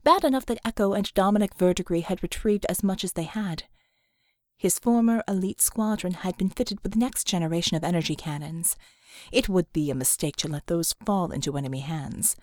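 A woman's voice: fairly low pitch, lively intonation, moderate pace, darkish tone, dry recording. Woman narrating fiction, close and dry. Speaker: audiobook narrator.

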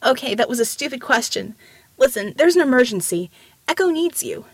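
A woman's voice: sits high, animated, brisk, no echo, voice pushed up. A woman voicing an earnest female police detective who pleads insistently and babbles with urgency in an audiobook story. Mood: urgent.

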